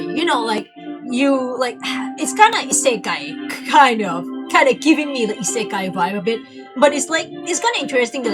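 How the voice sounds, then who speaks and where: Chatty young woman streamer, lively pitch. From Thailand. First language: not English. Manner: rambling, filler-heavy, frequent restarts. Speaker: game streamer. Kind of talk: casual livestream commentary.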